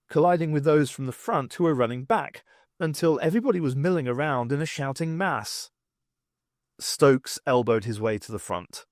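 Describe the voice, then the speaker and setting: A man reading scripted science fiction narration in an expressive storytelling manner. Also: mid-range voice, no echo, studio quality. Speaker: audiobook narrator.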